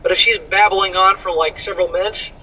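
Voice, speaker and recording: A man's voice: high voice, fairly even intonation, fairly quick, pushed and bright, slightly rough, far from the mic, low-quality recording. A man co-hosting a casual reaction commentary, blunt and profane, scolding in plain terms. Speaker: commentary co-host reading an article.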